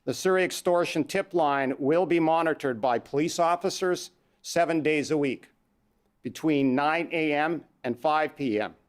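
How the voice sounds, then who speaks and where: Measured Canadian police chief, fairly high voice. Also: male voice, clean tone. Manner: measured, reads numbers out slowly. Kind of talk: formal press conference statement.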